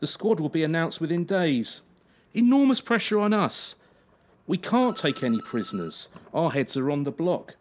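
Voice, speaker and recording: An actor playing a cold, patronising gymnastics coach in a radio drama, officious and insinuating. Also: male voice, fairly high pitch, sing-song, moderate pace. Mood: falsely concerned.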